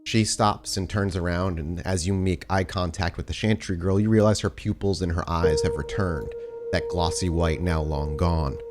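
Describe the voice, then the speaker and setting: A man's voice: sits low, fairly even intonation, normal speed, a little mellow. A man hosting and narrating a tabletop role-playing podcast as its storyteller. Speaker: host and game storyteller. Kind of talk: casual show chat and game narration.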